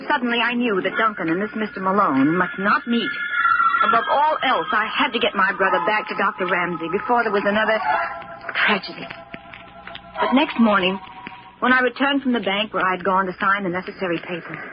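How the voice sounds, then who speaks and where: A woman's voice: mid-range voice, brisk, over loud music, lightly acted. An actress playing an anxious, protective young woman in an old radio drama, earnest, restrained and firm. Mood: tense. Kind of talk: old radio drama acting and first-person narration. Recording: muffled, noisy old radio recording.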